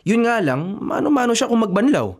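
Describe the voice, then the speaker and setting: A man's voice: extremely high pitch, big pitch swings, fairly quick, husky, exaggerated voice. A Filipino man acting in a radio drama as a defensive, unsentimental grown son with a matter-of-fact delivery.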